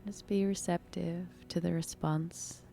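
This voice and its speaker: A woman leading a guided meditation in a soft, breathy, unhurried, soothing manner with long pauses. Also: on the low side, fairly even intonation, soft background music.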